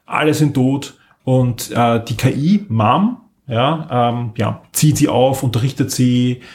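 A man's voice: medium pitch, slow, darkish tone. Enthusiastic, rambling man chatting. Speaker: podcast co-host. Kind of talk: casual podcast chat, recommending audio dramas.

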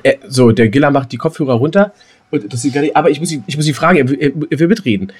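A man chatting casually as a podcast co-host, colloquial and halting, rambling from thought to thought. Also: mid-range voice, slightly rough. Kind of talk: casual, unscripted podcast chat.

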